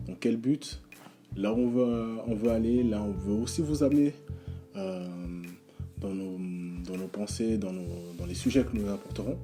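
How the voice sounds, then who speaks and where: Dark, halting French-speaking man. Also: fairly low pitch, faint music behind. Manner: halting, many fillers and restarts. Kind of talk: hesitant casual presentation.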